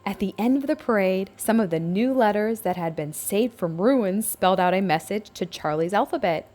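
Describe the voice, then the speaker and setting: A woman's voice: medium pitch, lively intonation, normal speed, darkish tone. A woman narrating a scripted picture book aloud for young children, as a storybook reader.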